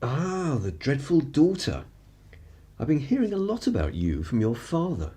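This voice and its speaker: A man's voice: a little high, huge pitch swings, a little slow, warm tone, slightly rough, exaggerated voice. A man with an upper-class English accent playing an urbane, wry 1930s journalist in a radio play, languid and drily superior. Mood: suave, amused. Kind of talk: period radio play acting.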